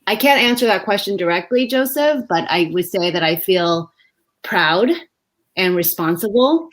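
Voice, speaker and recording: A woman from Vietnam, an education executive, answering interview questions live over a video call, thoughtful and pausing often with fillers. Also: mid-range voice, lively intonation. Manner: reflective, many pauses and "um" fillers.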